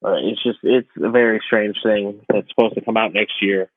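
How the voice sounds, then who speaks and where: A man's voice: lowish voice, steady tone, normal speed, breathy edge, no echo. A man co-hosting a podcast in casual chat, opinionated and incredulous in the way he talks. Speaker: podcast co-host. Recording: thin phone-like radio sound, dry.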